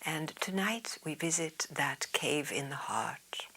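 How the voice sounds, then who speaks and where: A woman yoga teacher leading a guided meditation in a soft, soothing, half-whispered way. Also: deep voice, expressive, slow pace, slightly rough.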